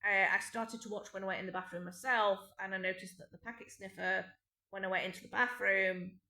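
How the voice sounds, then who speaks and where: Bright, aggrieved British woman in echoey room. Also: mid-range voice, steady tone, brisk. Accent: British English. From Britain. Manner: rambling, aggrieved, with frequent restarts and ums. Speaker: vlogger. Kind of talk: unscripted personal monologue. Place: home indoors.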